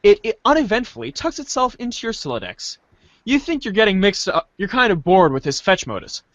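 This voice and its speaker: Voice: medium pitch, very animated, brisk, dry recording, muffled recording. A podcast host doing a playful, theatrical group reading of a webcomic script. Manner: playful, theatrical page-change calls.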